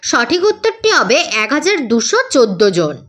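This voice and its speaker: A woman's voice: on the high side, huge pitch swings, brisk, a bit bright. A woman teacher reading out quiz questions and options in a methodical, scripted lesson style with a sing-song listing intonation. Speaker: teacher presenting exam questions.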